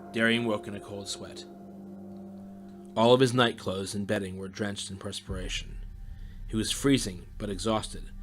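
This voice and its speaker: A man reading scripted story narration as the narrator of an audio drama. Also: lowish voice. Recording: music under the narration. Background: music.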